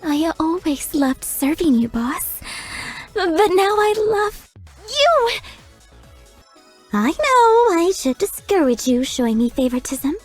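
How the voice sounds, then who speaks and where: Giggly flirty maid. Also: female voice, very high voice, big pitch swings, takes it slowly, pauses often, soft background music, exaggerated voice. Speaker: chambermaid character. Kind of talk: video game voice lines.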